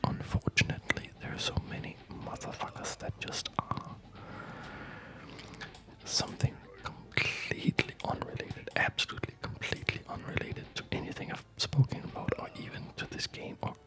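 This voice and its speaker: A man whispering a rambling, reflective ASMR commentary while he plays a game, with a breathy voice. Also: moderate pace.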